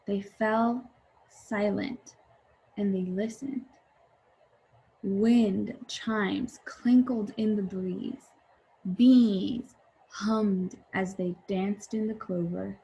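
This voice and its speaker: A woman's voice: mid-range voice, steady tone, drawn-out words, gravelly, mellow, low-quality recording. A woman teacher reading a storybook aloud and explaining it simply to young children. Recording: faint background noise.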